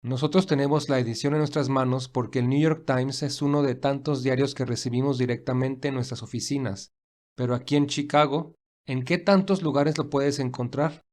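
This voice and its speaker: A man playing a reserved young millionaire in an audio story, speaking in a measured, earnest, formal way. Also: medium pitch, steady tone, darkish tone, lightly acted. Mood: firm, earnest. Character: William, reserved young millionaire, weary and principled.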